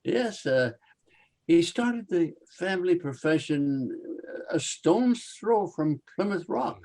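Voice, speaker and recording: A slow-talking, husky male undertaker for old-time radio comedy. He is genial and ghoulishly jolly, with formal, florid phrasing and a swooping, lively pitch. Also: exaggerated voice. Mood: proudly storytelling. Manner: formal, florid, chuckling delivery.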